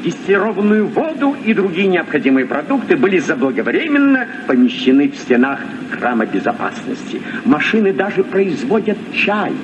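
Pompous, high-pitched scientist, noisy recording. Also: male voice, very animated, moderate pace, few pauses, distant mic. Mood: lecturing. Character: dry, proud old scientist, discoverer of Atlantis. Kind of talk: Soviet radio drama acting. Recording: noisy, muffled old radio recording.

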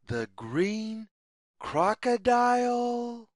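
A woman reading a picture book aloud for young children in a deliberate, sing-song way, in short separated phrases. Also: fairly high pitch, big pitch swings, a little mellow, no room sound, clean studio sound, faint music behind. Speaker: children's story reader.

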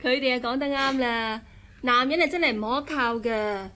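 A woman's voice: sits high, moderate pace, bright, forceful tone, slight background noise. An actress playing a fussy, cynical married woman who acts like a big sister, in a radio drama with a Hong Kong Cantonese accent. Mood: cynical, scornful.

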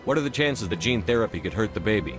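An actor playing a calm soldier hero in his thirties in a video game, mediating in a direct, diplomatic way. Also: on the low side, fast talker, music in the background, lightly acted. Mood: calm inquiry. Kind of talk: video game dialogue acting.

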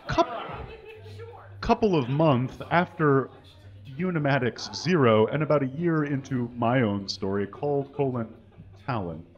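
Deadpan man doing a mock-earnest reading. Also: medium pitch, unhurried, lo-fi sound. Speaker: guest reader. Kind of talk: comic dramatic reading. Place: small stage with curtains and brick wall. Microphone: stand mic.

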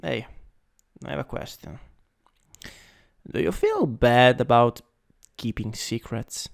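A man with an Italian accent improvising ASMR as a teasing, domineering older stepbrother, in a halting, intimate, breathy voice that often drops to a whisper. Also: mid-range voice, big pitch swings. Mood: hushed, coaxing. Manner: slow, intimate, halting delivery. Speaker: ASMR roleplay performer. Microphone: close USB microphone.

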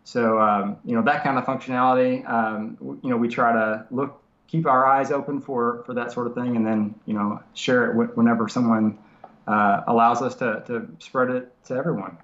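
A man in his thirties with a nasal voice from a cold, explaining software casually over a video call from his home study, with many fillers and restarts. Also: medium pitch, fairly even intonation, normal speed. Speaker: software developer guest. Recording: roomy lo-fi video call audio.

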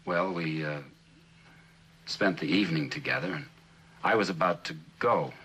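Very deep, husky, guarded witness. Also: male voice, a bit bright, poor audio. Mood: reluctant, halting. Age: middle-aged. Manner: halting, careful answers. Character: guarded, reluctant middle-aged male sculptor on the stand. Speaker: actor as witness. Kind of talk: 1960s television courtroom drama acting.

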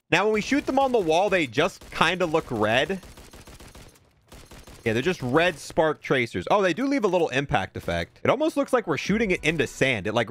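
Higher-pitched man doing casual game commentary. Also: fairly bright tone, slight background noise. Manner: informal, conversational, thinking aloud. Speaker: gaming video host reviewing a cosmetic bundle.

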